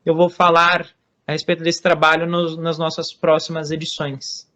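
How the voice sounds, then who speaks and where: A man with a Brazilian Portuguese accent, explaining history as an interview guest, measured with many hesitations and restarts. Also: a little high, completely flat delivery, bright, forceful tone. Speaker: author and guest on Norse religion.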